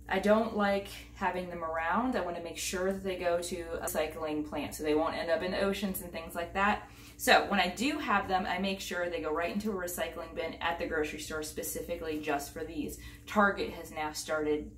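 A woman's voice: mid-range voice, far from the mic, a little hiss or hum. A woman in her thirties giving a casual, upbeat home organizing demonstration, moving around as she talks. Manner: chatty and upbeat, moving around while handling bags. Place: home entryway with hardwood floor, indoors. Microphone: camera mic at a distance. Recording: echoey room, voice from off the mic.

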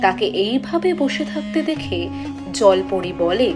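A woman's voice: mid-range voice, lively intonation, a little slow, over music. A woman narrating a scripted fairy tale as a storyteller.

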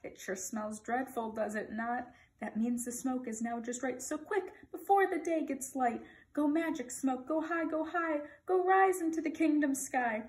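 A woman's voice: high-pitched, a little mellow. Woman acting a sinister chanting storybook magician. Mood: gleeful incantation. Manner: rhythmic incantation, drawn-out words.

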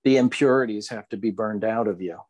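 A man with a fairly low voice talks in a reflective, conversational way as a podcast co-host. He searches for his phrasing, repeating words as he goes. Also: fairly quick, a little mellow. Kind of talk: reflective casual discussion of spirituality.

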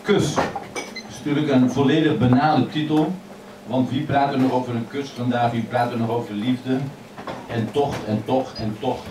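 A man reading his poems aloud in a measured, expressive way at a microphone in a crowded old café. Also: medium pitch, recorded from a distance, noisy background. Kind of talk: poetry reading. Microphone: stand mic, filmed from across the room. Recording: echoey café filmed from afar, loud chatter.

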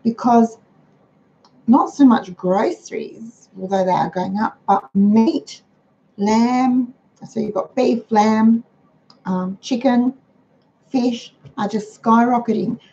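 An older Australian woman with a hoarse, croaky voice, hosting a chatty livestream from her home dining room over a webcam. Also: slow pace, mellow. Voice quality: chatty, homely manner. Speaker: host of a frugal living livestream. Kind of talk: casual livestream talk. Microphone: webcam on a computer. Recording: dull low-fi webcam sound with faint noise.

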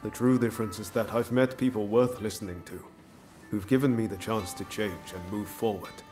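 Man acting an earnest low-voiced young samurai. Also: normal speed, darkish tone, soft background music, natural voice. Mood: reflective. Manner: earnest, reflective delivery. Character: earnest, loyal young samurai, grateful and resolute. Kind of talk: video game cutscene acting.

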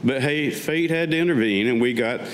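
A man's voice: mid-range voice, normal speed. An older man, around seventy, reading his own written personal story aloud at a staged reading, with wry asides. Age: older, about 70. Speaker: Vietnam veteran reading his own piece. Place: public meeting room with audience, indoors. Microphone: gooseneck lectern microphone. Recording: reverberant hall, faint background noise.

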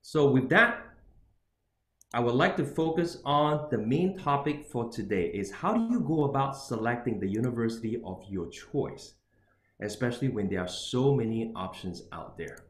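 Man, middle-aged, animated intonation, webcam talk, echoey room. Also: mid-range voice, normal speed. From Singapore. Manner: repetitive tag questions, emphatic. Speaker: international student advisor. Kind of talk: webinar presentation on choosing universities. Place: indoors with a virtual background.

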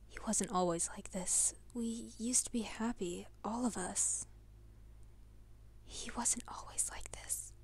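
Woman as a hushed, melancholy winged creature. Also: on the high side, slow, in a whisper, full character voice. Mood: sorrowful. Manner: hushed, breathy, tender.

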